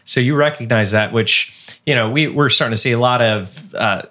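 Male host, mid-pitched voice, reflective, lots of ums. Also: moderate pace, sharp tone. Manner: reflective, hesitant with many ums. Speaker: podcast host. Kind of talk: interview conversation.

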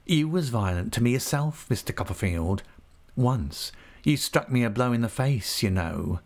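A man's voice: mid-range voice, lively intonation, moderate pace, close to an everyday voice. A man reading an audiobook, voicing a falsely humble, scheming young male clerk with an oily, cringing manner. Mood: smugly forgiving. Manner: oily, cringing humility with a whining edge. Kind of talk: audiobook character voice.

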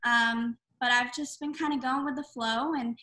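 A woman's voice: high-pitched, steady tone, normal speed, bright, forceful tone. A woman in her twenties, a singer-songwriter guest, chatting eagerly with fillers and restarts. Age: 20s. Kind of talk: casual interview.

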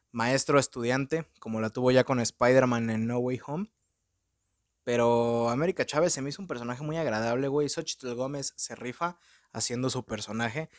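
A man's voice: mid-range voice, no echo, clean studio sound. A young Mexican man with a Mexican accent, casually reviewing a movie at home in slangy, profane talk full of filler words. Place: room at home in front of a window.

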